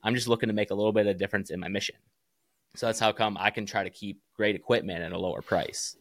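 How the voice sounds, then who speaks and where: Male interview guest, American, fairly low voice, earnest and plain-spoken, recorded dry. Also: fairly even intonation, fast, no room sound. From Chicago area, United States. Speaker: podcast guest, small business founder. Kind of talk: casual interview chat.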